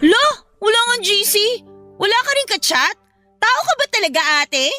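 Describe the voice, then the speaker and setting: A woman's voice: very high voice, very animated, moderate pace, sharp tone, heavily acted. A woman playing a whiny, cheeky student younger sister full of excuses in a Filipino audio drama, with a Filipino accent. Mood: cheeky, teasing. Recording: music behind the voice. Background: music.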